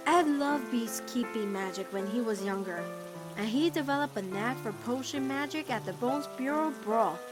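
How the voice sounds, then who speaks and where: A woman voicing a caring, steadfast teenage witch girl in an animated-style comic dub, reasoning firmly and tenderly. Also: fairly high pitch, moderate pace, music in the background, close to an everyday voice. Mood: softly chatting.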